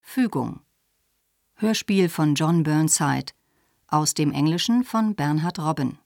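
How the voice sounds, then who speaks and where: A woman's voice: low-pitched, animated, takes it slowly, vocal fry, a little mellow, no echo, studio quality. A woman reading a scripted announcement of radio play credits.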